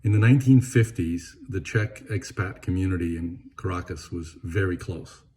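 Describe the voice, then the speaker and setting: An older man who grew up in Venezuela, delivering a measured, gently humorous eulogy and dropping his voice on sad words. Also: deep voice, slight background noise. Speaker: eulogy speaker. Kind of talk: read eulogy.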